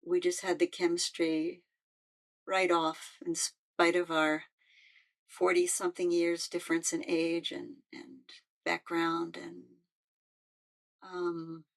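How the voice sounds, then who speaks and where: Gravelly older woman, halting. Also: lowish voice, completely flat delivery. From United States. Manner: halting and heartfelt, with frequent restarts. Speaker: musician and peace activist. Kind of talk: personal reflective talk.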